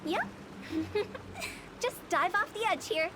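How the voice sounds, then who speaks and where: A woman's voice: very high voice, slow, background noise. A woman playing a playful, eccentric teenage girl funeral director in a video game, with a teasing, chirpy, theatrical delivery.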